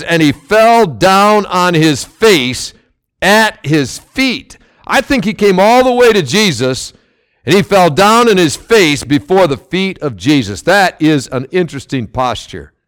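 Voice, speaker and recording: A man preaching with a loud, big-mouthed delivery, his high voice leaping all over the place. He pushes phrases hard, then pulls back to quieter asides. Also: very animated, a little slow. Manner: loud, emphatic preaching with sudden swells and drops. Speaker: pastor. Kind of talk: sermon.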